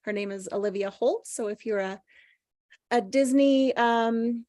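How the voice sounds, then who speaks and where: A woman with a fairly high voice, recorded dry and close. She moves between informal chat and reading scripted stage action aloud as a table read participant. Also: a little slow, darkish tone, dry recording. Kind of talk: casual chat and scripted narration.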